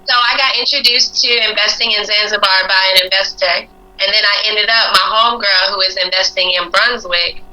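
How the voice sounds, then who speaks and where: A woman with a very bright, sharp voice chats on a live stream about her investing. She talks loosely in casual slang, laughing easily. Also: medium pitch, fairly even intonation, brisk, rough recording. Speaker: guest real estate investor. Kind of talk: casual chat.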